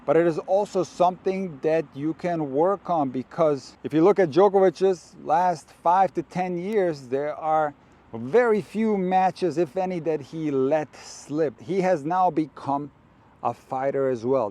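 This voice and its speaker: A man from Croatia, a tennis coach, speaks in a mellow, relaxed tone at a middling pitch. He talks to camera in an earnest, direct, measured way. He is outdoors.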